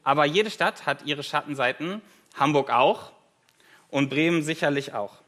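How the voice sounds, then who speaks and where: A man's voice: a little high, moderate pace, fairly bright tone. A German man in his thirties, a guest preacher giving a conversational sermon with light self-deprecating humor, in a church.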